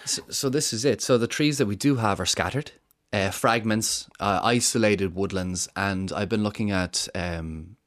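An Irish man talks at length as a podcast guest, earnest and explanatory. His voice sits on the low side, and he often pauses on fillers and restarts mid-thought. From Ireland. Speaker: guest, woodland charity founder. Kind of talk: interview conversation.

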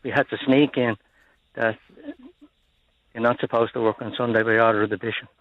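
An older man from Dublin with an Irish accent, calling in to a radio show and telling his story in a halting, plain-spoken way over a muffled phone line. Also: medium pitch, steady tone, no echo. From Dublin, Ireland. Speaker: caller. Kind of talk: phone-in call.

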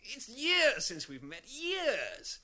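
An actor playing an effusive friend named David greeting a couple, in a 1970s radio drama demonstration. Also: male voice, very high-pitched, wildly swooping pitch, takes it slowly, pushed and bright, heavily acted.

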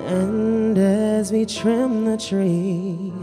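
Soulful woman singing over loud band. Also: medium pitch, fairly even intonation, very slow. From Ireland. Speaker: singer. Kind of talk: live Christmas song performance. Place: outdoor street stage. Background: live guitar band.

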